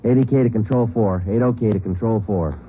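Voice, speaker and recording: A man's voice: fairly low pitch, a little fast, background noise, close to an everyday voice. An actor playing a terse male police sergeant who narrates the case, clipped and matter-of-fact in 1940s radio drama style. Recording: tinny old radio broadcast.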